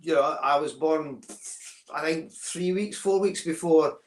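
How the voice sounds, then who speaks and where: A man's voice: on the high side, unhurried. Scottish man in his sixties, echoey room. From Scotland. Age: 60s. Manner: explanatory, repeats words while thinking, asks "right?". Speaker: guest. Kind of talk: reflective interview talk.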